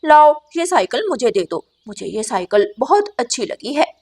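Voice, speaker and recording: Lady playing a nasty mama crow in a kids cartoon, high voice, bossy, scolding and threatening everyone. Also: sing-song, normal speed, darkish tone, breathy edge, full character voice. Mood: bossy demanding. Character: bullying bad-tempered female crow, a doting mother. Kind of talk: Hindi children's cartoon dialogue.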